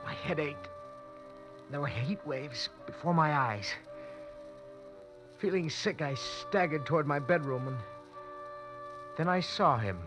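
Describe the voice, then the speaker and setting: An actor playing an anxious young lawyer, narrating tensely in first person in a 1940s radio drama. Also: male voice, on the high side. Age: young adult. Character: panicked young lawyer who wakes beside a corpse. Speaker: narrator and lead. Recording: muffled old radio broadcast with music.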